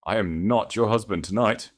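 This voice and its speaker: Bir adamın canlandırdığı kalın sesli, kibirli koca. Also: male voice, on the low side, normal speed, pitched above the performer's own voice, lightly acted. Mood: furious, dismissive. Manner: contemptuous, commanding. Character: arrogant, vicious, status-obsessed husband.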